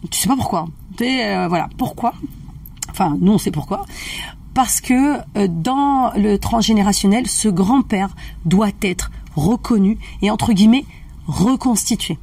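A woman's voice: medium pitch, expressive, a little slow, vocal fry, a little mellow, husky, far from the mic. A woman practitioner explaining family constellations in casual conversation. She checks in often with her listener. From family of Algerian roots.

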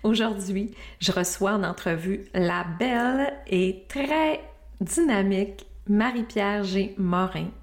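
A woman's voice: medium pitch, lively intonation, takes it slowly. Podcast host: woman, middle-aged, Quebec French accent. Effusive, encouraging, mid-range voice. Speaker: podcast host, coach and speaker. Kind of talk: podcast introduction and interview.

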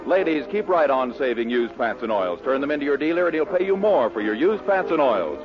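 A man's voice: mid-range voice, very animated, brisk. A man with an American accent announcing and reading ads in the style of 1940s network radio. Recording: muffled 1940s radio broadcast, music behind.

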